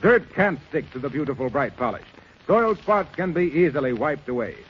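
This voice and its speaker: A man's voice: on the high side, big pitch swings, a little fast. A man playing a cheerful mailman who delivers polished, persuasive sales patter in 1930s radio style. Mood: upbeat selling. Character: smooth-selling mailman pitching household floor polish. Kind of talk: 1930s radio advertising in character. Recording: muffled, noisy 1930s radio recording.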